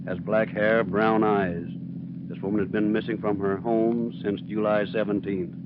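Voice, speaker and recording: Through heavy noise on a thin, tinny radio band comes an actor as an impassive police dispatcher. He reads bulletins in a lowish, clipped, official monotone, in the manner of old 1930s radio drama. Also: normal speed, close to an everyday voice.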